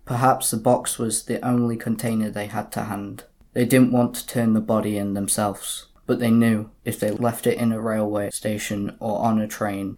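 A man playing a calm, sober detective inspector in an audio drama, speaking in a measured, formal way. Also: lowish voice, natural voice. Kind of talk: community audio drama narration and dialogue.

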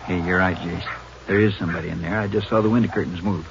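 An actor playing a brisk, plainspoken small-town sheriff in a 1950s radio drama. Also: male voice, low voice, steady tone. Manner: brisk, plainspoken with rural phrasing. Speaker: county sheriff. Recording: old lo-fi radio broadcast with clear hiss.

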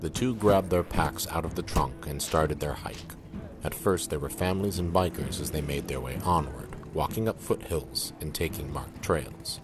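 A man's voice: very deep voice, normal speed. A man delivering scripted narration as the narrator of an audio drama. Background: music.

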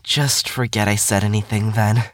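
A man's voice: fairly low pitch, level tone, normal speed, no echo, natural voice. A man playing a meek, awkward, apologetic psychiatric patient in an audio drama, speaking hesitantly. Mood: sheepish.

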